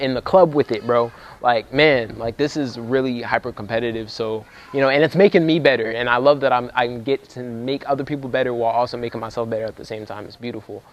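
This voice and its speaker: A young man, a music producer, talking casually to the camera at a production workshop, with loose slangy speech that heats up when he gets excited. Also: mid-range voice. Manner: laid-back slangy talk, gets fired up when excited. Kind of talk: casual vlog talk to camera.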